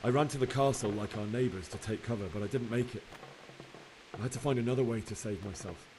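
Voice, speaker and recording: An actor playing a young blacksmith's son in a video game, earnestly and sorrowfully recounting a massacre. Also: male voice, mid-range voice, quick pace, background noise, natural voice. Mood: shaken. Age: young adult. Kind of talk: dramatic game cutscene acting.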